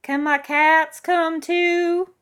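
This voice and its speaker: A woman's voice: very high-pitched, level tone, very slow, a bit bright, voice pushed up, heavily acted. A woman voicing a stubborn, sarcastic elderly woman with a snappy, dismissive manner in a story reading. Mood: grudging. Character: stubborn, feisty, sarcastic elderly woman, tiny cat lover. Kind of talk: audiobook character voice.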